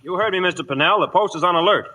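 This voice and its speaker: An American actor playing a stern young cavalry lieutenant, clipped and military, in 1950s radio acting. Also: male voice, high-pitched, rapid-fire, bright, forceful tone, faint music behind, poor audio. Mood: stern, smug.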